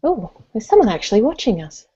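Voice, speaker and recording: A woman's voice: on the high side, big pitch swings, quick pace, mellow, slight background noise. A chatty Australian woman hosting an informal online discussion. She is giggly and explains things in loose, hedged sentences. The sound is dull and lo-fi, like an internet call. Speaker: host of an online book discussion. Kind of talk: casual chat. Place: live online video call. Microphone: computer call, wearing headphones.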